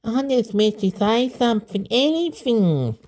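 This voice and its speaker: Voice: medium pitch, big pitch swings, measured pace, a little mellow, pitched above the performer's own voice. A storybook reader voicing an anxious, plaintive young child.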